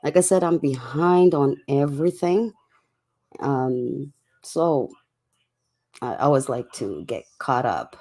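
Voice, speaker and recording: Gravelly, coughed-out livestreamer with a hoarse voice. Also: medium pitch, slow, pauses often, dark tone. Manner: laid-back, chatty, addressing viewers. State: sick with a cough, voice getting hoarse. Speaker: livestream host. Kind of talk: casual late-night livestream chat.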